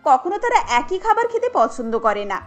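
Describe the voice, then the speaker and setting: High-pitched woman narrating a cartoon story. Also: very animated, normal speed, faint music behind.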